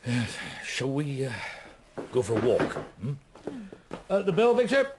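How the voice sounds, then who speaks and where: An actor in a radio drama playing a shrewd, avuncular middle-aged detective inspector who speaks in a courteous, ruminative, hesitant way. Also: male voice, medium pitch, very animated, a little slow, background noise. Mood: amused, relaxed.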